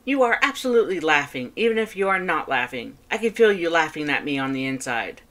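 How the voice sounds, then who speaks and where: A woman's voice: low-pitched, sing-song, brisk, a bit bright, voice pushed up. A woman voicing a proud, competitive male skeleton in a fan fiction audiobook, speaking emphatically and formally with theatrical flair. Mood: flustered, outraged.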